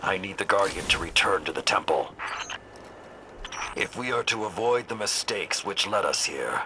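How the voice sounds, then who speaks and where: Grave low-voiced veteran warlord. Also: male voice, animated, moderate pace, slight background noise. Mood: urgent, regretful. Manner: solemn, formal, foreboding. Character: grave, stern veteran male warlord, weighed by regret. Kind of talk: video game dialogue. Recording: loud music behind the voice. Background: loud game soundtrack music.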